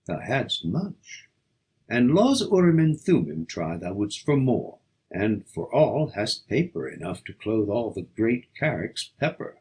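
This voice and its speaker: A man's voice: lowish voice, very animated, measured pace, darkish tone, slightly rough. A man reading poetry aloud as an audiobook, in a declamatory, theatrical manner. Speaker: audiobook reader. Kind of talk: dramatic poetry recitation.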